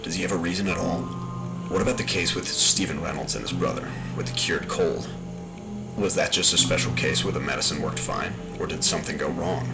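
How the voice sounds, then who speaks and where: An actor playing a brooding paranormal investigator who muses and questions himself while recording case notes in an audio drama. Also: male voice, low-pitched, gravelly. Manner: deliberate, musing, self-questioning. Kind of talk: audio drama monologue, spoken case log. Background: music score.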